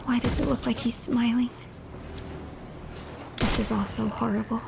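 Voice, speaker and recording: A woman narrating a game's story in a measured, dramatic way, often breathy and hushed. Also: a little high, flat delivery, heavy background noise. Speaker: streamer narrating a game.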